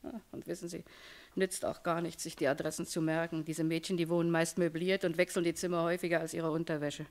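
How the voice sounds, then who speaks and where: A woman's voice: lowish voice, little pitch movement, fast talker, a little mellow, natural voice. An actress playing a jaded, world-weary woman shop owner with a shady past, dismissive and brusque, in a German radio crime drama. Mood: chatty, brusque.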